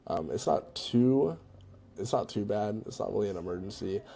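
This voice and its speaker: A man's voice: fairly low pitch, expressive, moderate pace, vocal fry, dark tone, breathy edge. A man giving a casual, conversational walkthrough as a tutorial presenter, in an informal way. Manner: informal, conversational, checks in with "right?" and "you know". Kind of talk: casual screen-recorded software walkthrough.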